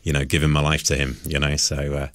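Southern English man, very low voice, hesitant interview guest, rephrases as he goes, dry close studio sound. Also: completely flat delivery, fast talker, fairly bright tone, no room sound. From Salisbury, southern England. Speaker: studio guest, singer-songwriter. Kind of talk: conversational radio interview. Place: radio studio.

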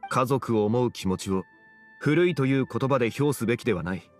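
An actor playing a calm, wise, courteous gentleman in a video game, speaking in a formal, measured way. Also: medium pitch, close to an everyday voice. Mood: gentle, reassuring. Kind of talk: video game dialogue acting. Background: soft background music.

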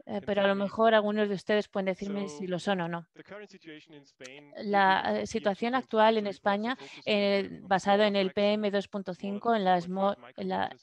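Woman interpreting live, halting phrasing. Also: medium pitch. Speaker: simultaneous interpreter. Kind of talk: live interpreting of a technical webinar presentation.

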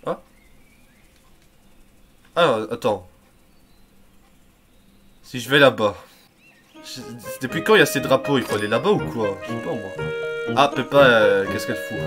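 A man's voice: mid-range voice, animated, measured pace, pauses often. A young man doing casual, mocking game commentary in a crude, grumbling, sarcastic way. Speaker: gaming video host. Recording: game music behind. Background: cartoon game music and dialogue.

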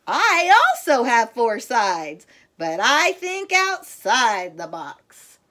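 A woman plays an eager little storybook shape who badly wants to be the star. The voice is high and bright, showy and insistent, with big swings in pitch. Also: very animated, slow pace, heavily acted. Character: pushy, boastful, eager trapezoid shape wanting stardom.

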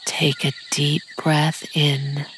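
A woman narrating a scripted guided meditation in a soft, breathy, half-whispered, soothing delivery. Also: deep voice, little pitch movement, extremely slow, faint music behind. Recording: nature ambience with insects behind voice.

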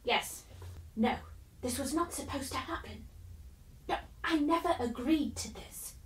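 A defensive, guilt-stricken woman in a video game, speaking high and insistent. The sound is roomy and set back from the mic. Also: a little slow. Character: guilt-stricken woman denying blame for an attack. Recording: roomy, from a distance.

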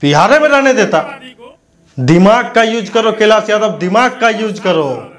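A man's voice: sits high, expressive, unhurried, fairly bright tone. A man delivers a combative political rant to camera on a lapel mic, scornful, abusive and confrontational. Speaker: news commentator.

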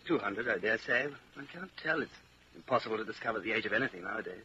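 A man's voice: lowish voice, animated, quick pace, bright, forceful tone. An actor playing an anxious, yearning man and secret rebel in a 1940s radio drama, earnest and intense. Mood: wistful. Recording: muffled, noisy 1940s radio recording.

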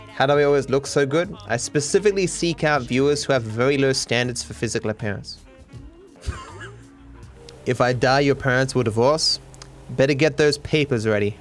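Male game streamer, Australian accent, mid-pitched voice, dry sarcastic banter, faint music underneath. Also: vocal fry. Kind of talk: casual live stream chat over gameplay.

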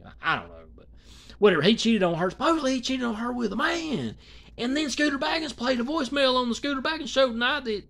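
A man's voice: mid-range voice, a bit bright. A man in his thirties rambling in casual, colloquial commentary, full of restarts and asides, filmed close up in a small dim room. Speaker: commentary vlogger. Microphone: phone or webcam close to face.

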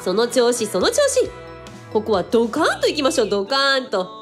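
A woman's voice: a little high, huge pitch swings, fairly quick, soft background music. A woman playing a playful female show host who coaxes and eggs others on in a comic radio drama. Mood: excited, encouraging. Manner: bubbly, coaxing, exclamatory.

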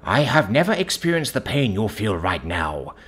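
Man playing a gentle, firm counsellor with lively intonation. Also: lowish voice, husky, exaggerated voice. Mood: gentle but firm. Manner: measured, earnest, preaching in metaphors. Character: calm, devout male counsellor, gentle but firm.

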